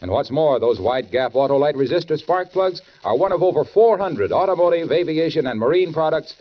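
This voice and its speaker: A man's voice: a little high, animated, brisk. A man doing folksy, theatrical commercial patter as a 1940s radio announcer. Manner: folksy, theatrical salesman delivery. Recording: muffled 1940s radio recording.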